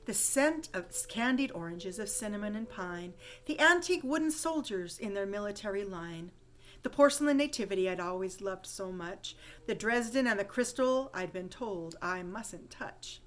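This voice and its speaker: A woman reading a rhyming holiday story poem aloud with expressive, dramatic storytelling emphasis. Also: medium pitch, moderate pace. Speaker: poem reader.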